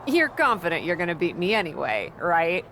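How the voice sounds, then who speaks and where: A woman's voice: on the low side, sing-song, brisk, a bit bright, slight background noise, low-quality recording. A woman playing a plucky, cocky wrestler in a video game cutscene, speaking in a casual, teasing way. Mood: thoughtful, scheming.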